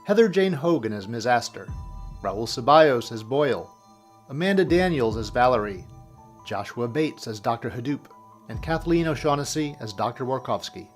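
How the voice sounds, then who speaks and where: A man's voice: mid-range voice, animated, moderate pace. A man giving a scripted credits announcement for an audio drama. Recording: music under the voice. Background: music.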